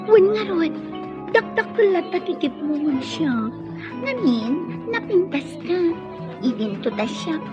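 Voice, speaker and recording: A woman's voice: very high-pitched, big pitch swings, a little slow, exaggerated voice. An actress playing a sociable, wistful young woman who works nights, in a radio drama. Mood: chatty. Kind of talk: Ilocano radio drama acting. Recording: muffled audio under loud background music.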